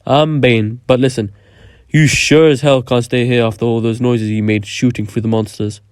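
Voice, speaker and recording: A man's voice: mid-range voice, steady tone, normal speed, smooth, no room sound, clean studio sound, natural voice. A man acting as a guarded, cocky lone survivor in a game cutscene, blunt and self-assured. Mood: matter-of-fact.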